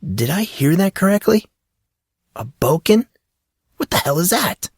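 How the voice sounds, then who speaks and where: A man's voice: sits high, very animated, a little slow, slightly rough, dry recording, studio quality, pitched above the performer's own voice, full character voice. A man voicing a puzzled, guarded teenage boy who keeps asking questions and steers away from topics. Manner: questioning, evasive.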